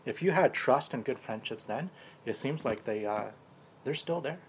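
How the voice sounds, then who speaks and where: A man's voice: mid-range voice, animated, moderate pace, slight background noise. A man in his forties chatting casually on a podcast with friends. He brings up topics to talk about and thinks them through. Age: 40s. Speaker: podcast co-host. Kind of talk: casual chat.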